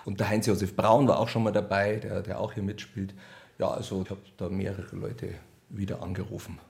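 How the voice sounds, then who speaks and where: Low, gravelly Bavarian man talking casually. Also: little pitch movement, fairly quick, darkish tone. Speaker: radio play author and director being interviewed. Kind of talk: casual interview talk.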